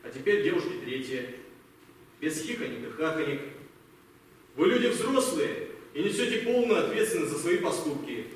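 A man's voice: on the high side, very animated, normal speed, pauses often. A man acting in an amateur audio play as a stern, authoritative army captain with clipped military delivery. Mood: stern, strict. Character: stern army captain commanding a wartime women's reconnaissance squad. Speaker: amateur theatre actor. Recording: very echoey hall, distant mic, faint noise.

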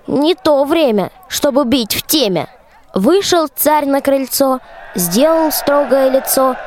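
A young woman playing a folksy rhyming storyteller, narrating a radio play. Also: high-pitched. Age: young adult. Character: lively folk jester storyteller in rhyme. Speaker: narrator, school student actor. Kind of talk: theatrical folk-tale narration.

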